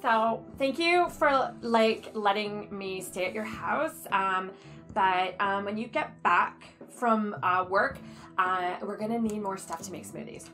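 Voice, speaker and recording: A woman's voice: mid-range voice, slow pace, fairly bright tone, soft background music. A woman in middle age playing a pushy, oblivious, self-absorbed best friend in an improvised soap, rambling on insistently. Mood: sleepy, entitled. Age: middle-aged. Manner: rambling, breezily insistent, valley-style filler talk. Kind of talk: improvised soap-opera comedy over a video call.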